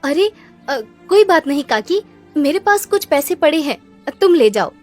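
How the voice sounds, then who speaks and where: A kind but strict, devout village mother put on by a woman narrator for a cartoon story. The voice is pitched high, with music underneath. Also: very animated, moderate pace, a little mellow. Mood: kind, caring. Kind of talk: children's cartoon story voice acting.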